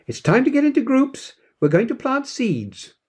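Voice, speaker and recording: A man voicing a female schoolteacher in a storybook, briskly instructing pupils. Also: extremely high pitch, very animated, a little mellow, slightly rough, pitched above the performer's own voice, exaggerated voice.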